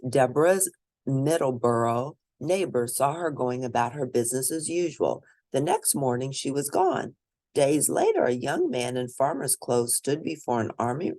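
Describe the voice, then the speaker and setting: A woman narrates a picture book for children in a deliberate, teacherly manner. Her intonation is very animated, rising and dipping widely. The sound is dry, with very little room around the voice. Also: rumbling low, no room sound. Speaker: children's read-aloud narrator and educator. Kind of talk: picture book read-aloud with asides to young listeners.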